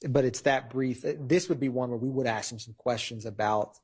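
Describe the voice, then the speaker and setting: A man arguing to a judge as a prosecutor in a courtroom, formal but halting, with a husky voice. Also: mid-range voice, steady tone, a little mellow. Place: large wood-paneled courtroom.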